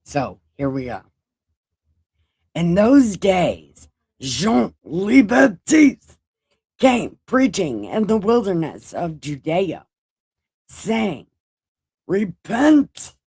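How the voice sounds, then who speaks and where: A woman's voice: on the low side, very animated, very slow, pauses often, a little mellow, breathy edge, dry recording, studio quality. A woman around forty who reads scripture to a live chat in a casual way, with profane, joking asides between passages. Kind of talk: casual read-along chat.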